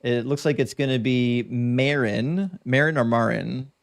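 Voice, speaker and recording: A man's voice: medium pitch, a little slow, gravelly, dry recording, clean studio sound. A man moderating a live online debate as host, firm and direct with his questions.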